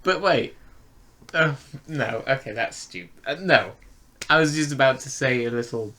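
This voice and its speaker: A man co-hosting a casual fan review chat, speaking in a hesitant, halting way. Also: mid-range voice, lively intonation, sharp tone, a little hiss or hum. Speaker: podcast review co-host.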